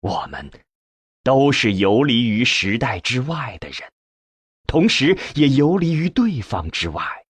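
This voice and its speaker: A man's voice: medium pitch, sing-song, measured pace, husky, no room sound. A man narrating a science fiction audiobook in the first person.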